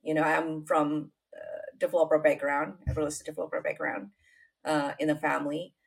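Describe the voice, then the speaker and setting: A middle-aged woman from Indonesia with an Indonesian accent, telling her life story as an interview guest, unhurried and full of fillers. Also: deep voice, level tone, vocal fry, rough recording. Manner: unhurried, many fillers and restarts, non-native grammar.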